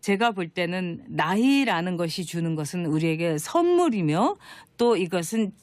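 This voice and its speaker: Middle-aged woman, animated pitch, conversational commentary, emphatic storyteller, reflective, dry studio-like sound. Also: moderate pace, dry recording. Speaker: commentator and writer, talk show guest. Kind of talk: conversational opinion talk, storytelling.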